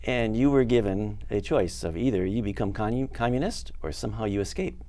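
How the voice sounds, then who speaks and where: Male host, low and warm, courteous leading questions, slight background hiss. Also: expressive, fairly quick. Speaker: interviewer. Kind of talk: interview questions.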